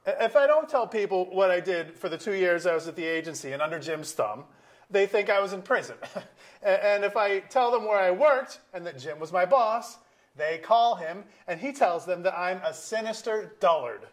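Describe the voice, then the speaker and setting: A man acting a wry, aggrieved, out-of-work middle-aged lawyer in a staged comedy-drama, halting and self-deprecating. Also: high voice, lively intonation. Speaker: actor in a staged reading. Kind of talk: theatrical dialogue reading. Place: museum auditorium stage.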